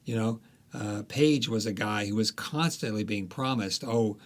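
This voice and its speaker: A man with a low voice tells stories as a guest author in an interview. He speaks in a loose, conversational way, pausing on fillers and restarting his sentences as he goes. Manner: unhurried storytelling with many fillers and restarts.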